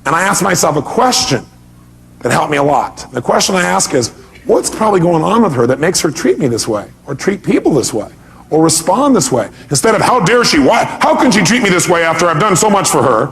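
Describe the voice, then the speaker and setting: A man with a distinctly high-pitched voice leads a motivational seminar. He speaks emphatically, poses rhetorical questions to his listeners, and acts out people's reactions as he drives his key points home through repetition. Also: very animated, a little fast, creaky, a bit bright.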